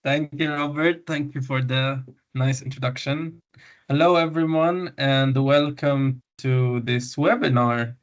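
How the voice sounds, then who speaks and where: A man in his twenties from Syria, a doctor giving an informal webinar presentation, halting with many fillers and self-corrections over a video call. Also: mid-range voice, fairly even intonation. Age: 20s. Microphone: video call microphone. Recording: muffled lo-fi webinar audio.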